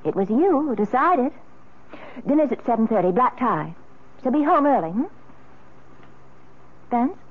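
Polished middle-aged American society wife: witty, arch, theatrical, swooping intonation, tinny vintage radio sound. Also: female voice, a little high, animated, a little slow, long pauses, breathy edge. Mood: lively, proud. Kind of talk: 1970s radio drama acting. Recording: narrow, phone-like old radio sound.

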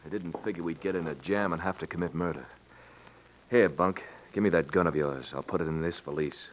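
An actor playing a bossy American swindler who leads a pair of crooks, in an old-time radio western. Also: male voice, low-pitched, a little fast. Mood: uneasy, calculating. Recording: dull, noisy vintage radio recording.

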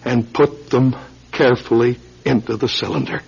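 A male actor performs a desperate man unburdening himself in a radio drama. His voice is gravelly and he stumbles back over his words in distress. The recording is dull and lo-fi. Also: medium pitch, noisy background, close to an everyday voice. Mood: haunted confession. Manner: halting, repeating words as if distraught. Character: anguished, desperate man confessing to his wife. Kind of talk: dramatic radio play acting.

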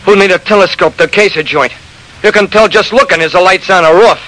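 High-pitched, brusque city tough. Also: male voice, a little fast, bright, forceful tone. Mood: indignant. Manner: slangy, brusque. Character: gruff, streetwise, impatient male city tough guy. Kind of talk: old-time radio crime drama acting. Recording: noisy vintage radio recording.

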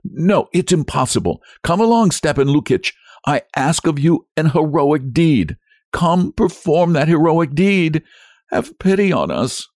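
A man's voice: on the high side, very animated, a little slow, darkish tone, no room sound, clean studio sound, pitched above the performer's own voice. A man voicing a desperate young wife in a storybook reading, tearful, urgent and imploring. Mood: indignant pleading. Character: desperate, pleading young wife begging for help.

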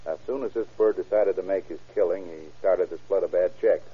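An actor playing a tough, slangy private investigator who questions in a clipped way, in 1940s radio crime drama style. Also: male voice, sits low, a little fast, slightly rough. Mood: explanatory. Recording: dull old radio drama recording.

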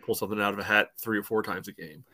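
A man giving casual soccer analysis as a podcast panelist, phrasing things haltingly with frequent filler phrases. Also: on the low side, steady tone, a crowd in the background. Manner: hesitant phrasing peppered with "you know".

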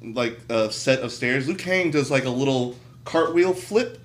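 A man's voice: mid-range voice, expressive, measured pace. A man in a casual, joking group chat, telling things in a wry, irreverent way. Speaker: podcast co-host.

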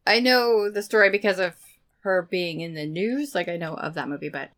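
A woman in her forties co-hosting a book podcast, bubbly and giggly, talking excitedly and casually. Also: lowish voice, moderate pace. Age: 40s. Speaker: podcast co-host.

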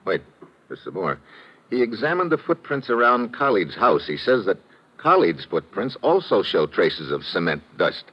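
Brief: hard-boiled detective, very low voice with lively swings, terse slangy delivery, dull vintage radio sound. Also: male voice, moderate pace, breathy edge. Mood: puzzled, brisk. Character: tough, plainspoken male investigator working a murder case. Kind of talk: old-time radio detective drama acting.

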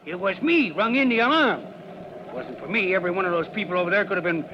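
A shifty, nervous arson suspect whines and pleads in rough street talk. His voice sits high and swings widely in pitch. The old radio recording sounds dull and carries a faint hiss. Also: lively intonation, fast. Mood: defensive. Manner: whiny, pleading, street slang. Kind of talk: 1940s radio acting.

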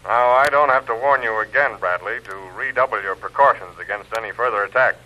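An actor playing a stern, authoritative intelligence chief giving formal instructions in an old-time radio adventure. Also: male voice, medium pitch, brisk, bright, forceful tone, muffled recording, close to an everyday voice. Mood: stern, concerned.

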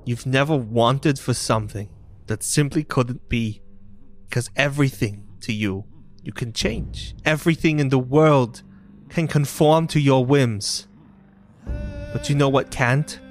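A man's voice: mid-range voice, pitched above the performer's own voice, close to an everyday voice. A man voicing a young Goliath girl in a tabletop game, bitter, grieving and scornful in an emotional, confrontational way.

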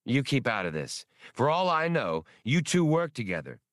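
Guy doing a gruff starship captain, curt, menacing, sarcastic, audiobook character, dry close sound. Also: mid-range voice, sing-song, moderate pace, a bit bright, no echo, pitched above the performer's own voice. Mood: snappish. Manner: curt, threatening.

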